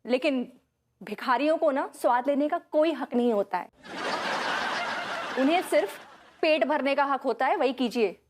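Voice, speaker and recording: A woman's voice: sits high, normal speed, long pauses, a little mellow, slight background noise, lightly acted. A woman playing a snooty, condescending housewife in a broad Hindi sitcom. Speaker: sitcom actor.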